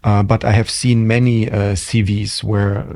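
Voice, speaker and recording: A man's voice: on the low side, little pitch movement, measured pace, creaky, a little mellow, dry recording. A middle-aged man with a German accent, a hotel general manager telling his career story in a podcast interview, reflective and full of ums and restarts.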